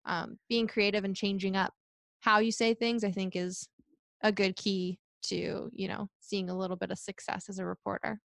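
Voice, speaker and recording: A young woman who works as a reporter, answering interview questions in a chatty, energetic way and laughing often. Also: moderate pace, gravelly, no echo, studio quality. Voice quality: high-pitched voice. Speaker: sports reporter.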